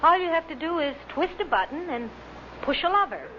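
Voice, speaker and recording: A woman's voice: sits high, very animated, brisk. A woman reading a vintage commercial as an announcer. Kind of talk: vintage ad read. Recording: muffled, noisy old broadcast recording.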